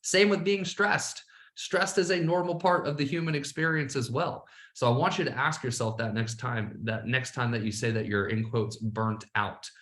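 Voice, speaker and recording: A man's voice: medium pitch, steady tone, moderate pace, a bit bright. A man runs his own motivational podcast, hammering points home with constant "all right"s. His voice sits mid-range and a bit sleepy. The room around him rings with noticeable echo. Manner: emphatic, repeats "all right" often. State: a little sleepy. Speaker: business coach and podcast host. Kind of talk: casual motivational monologue.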